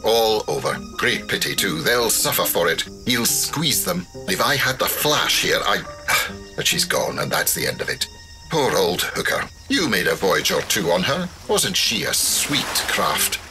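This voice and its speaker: A man's voice: fairly low pitch, very animated, unhurried. An actor playing a hearty, unflappable older sea captain who talks in breezy nautical turns with fatherly ease. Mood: rueful. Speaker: ship captain. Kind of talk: full-cast audio drama acting. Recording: studio audio drama with music bed.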